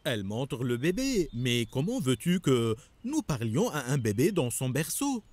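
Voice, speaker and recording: A suspicious cartoon rabbi. His husky, mid-pitched voice rises and falls widely as he questions. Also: wildly swooping pitch, measured pace, darkish tone, no echo. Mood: accusing, astonished. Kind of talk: animated religious drama acting.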